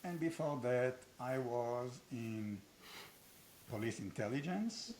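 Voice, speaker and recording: A man's voice: mid-range voice, expressive, slow pace, darkish tone. Older Israeli-accented man, blunt, provocative host, dry self-mocking humor, faint hiss on the recording. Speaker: host, founder of a training academy. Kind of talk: unscripted conversation.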